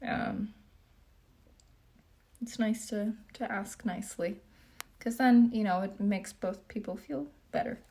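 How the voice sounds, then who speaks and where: A young woman from Japan hosts a relaxed livestream Q&A at home, doing her makeup. Her voice sits at a medium pitch, and she talks in a loose, chatty way, reading viewers' comments aloud and padding her answers with plenty of "um" and "like.". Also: takes it slowly, gravelly, long pauses. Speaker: beauty vlogger.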